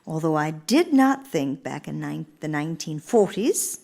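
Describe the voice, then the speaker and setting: A woman's voice: sits low, sing-song, a little slow, creaky, dark tone, full character voice. An actress playing an earnest, gracious elder First Lady in a radio play, speaking formally and with theatrical emphasis. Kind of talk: radio theater monologue and dialogue.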